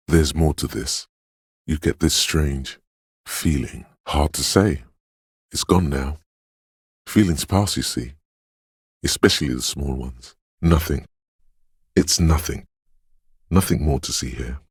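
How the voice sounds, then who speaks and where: A man voicing the eerie, brooding inner voice of a detective's intuition in a video game, with slow, ominous, dreamlike narration and a husky, gravelly quality. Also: very deep voice, dry recording, studio quality, heavily acted. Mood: ominous, musing. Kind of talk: scripted video game narration in second person.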